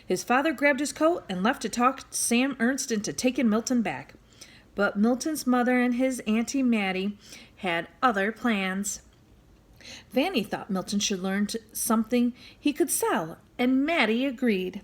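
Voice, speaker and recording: Animated, high-voiced woman reading to children. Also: a little slow. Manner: animated, addresses young listeners with questions and asides. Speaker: storyteller reading a children's book aloud. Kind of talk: expressive read-aloud for children.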